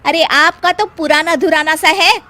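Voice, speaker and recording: A woman as a shrill, feisty young daughter-in-law. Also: normal speed, sharp tone. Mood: sarcastic. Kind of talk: acted dialogue in a Hindi moral story.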